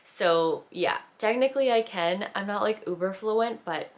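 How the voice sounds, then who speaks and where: A woman's voice: medium pitch, measured pace. A young woman from the Philippines whose first language is Tagalog, chatting casually as a vlogger with many fillers and restarts. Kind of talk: casual chat.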